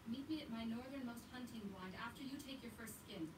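Actress as a brisk young frontier huntress, high voice, polite old-fashioned phrasing, game audio off TV speakers, echoey room, distant, background noise. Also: female voice, lightly acted. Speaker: game character.

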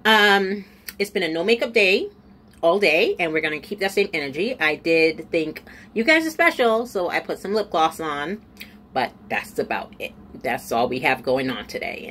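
Chatty, lively woman beauty vlogger. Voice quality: slightly stuffy, nasal. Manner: chatty, animated, drifting into low asides. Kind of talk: casual chat to camera. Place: small room at home, indoors. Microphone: camera in front at close range.

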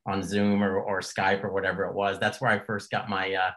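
A man's voice: deep voice, completely flat delivery, brisk, a bit bright. Rambling older male podcast host. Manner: rambling, folksy, joking. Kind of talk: casual podcast interview.

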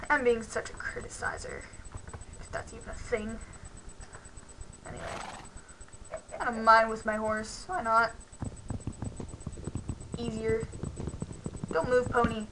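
A woman's voice: fairly high pitch, a bit bright, a little hiss or hum, rough recording. A young woman host chatting casually through a game, rambling with lots of "like", her voice worn from losing it, on a headset mic at her computer. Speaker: gaming channel host. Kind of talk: casual let's-play commentary.

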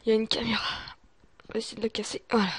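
A woman's voice: on the high side, flat delivery, quick pace, husky, no room sound. A woman doing in-character gameplay commentary, muttering to herself, often dropping into whispers and breaking into exclamations. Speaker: gamer playing a role-play survival series.

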